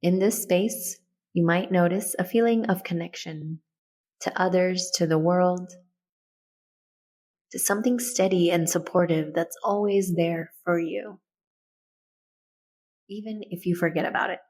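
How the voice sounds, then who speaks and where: A woman leading a guided meditation in a soothing, gentle, instructional way. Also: fairly low pitch, steady tone, measured pace, long pauses.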